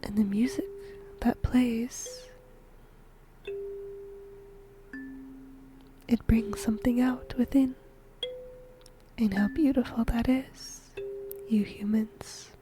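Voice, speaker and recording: A woman playing a whimsical death god in a whispered ASMR roleplay, gentle, musing and playful, breathy and close to the microphone. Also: fairly high pitch, steady tone, takes it slowly, pauses often, over music. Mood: soft wonder. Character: whimsical female shinigami death god fond of humans.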